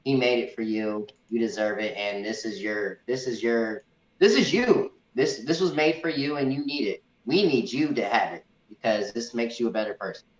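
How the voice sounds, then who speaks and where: Echoey, halting man chatting about films. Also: mid-range voice, expressive. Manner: halting, repeats words, enthusiastic fan talk. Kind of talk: casual movie review chat. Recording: echoey room, dull muffled audio.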